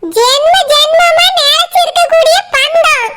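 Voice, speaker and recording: Squeaky cartoon fishmonger woman, Kanyakumari Tamil accent, dramatic peacemaker, extremely high pitch, noisy soundtrack. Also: a little slow. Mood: pleading. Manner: scolding, pleading, exclamatory. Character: pleading, meddlesome woman fish seller, dramatic peacemaker. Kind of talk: comic cartoon dialogue.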